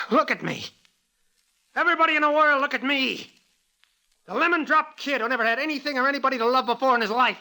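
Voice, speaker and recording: An American actor in 1940s radio drama style, playing a devoted, hot-tempered young racetrack hustler who talks in emotional street slang. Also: male voice, extremely high pitch, gravelly, bright, forceful tone, exaggerated voice. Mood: overjoyed.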